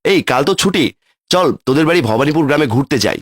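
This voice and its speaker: A man playing an excitable city office worker on a village trip in a cartoon ghost story. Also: fairly high pitch, fairly quick, breathy edge, no room sound, clean studio sound, voice pushed up. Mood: cheerful. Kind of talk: cartoon horror story voice acting.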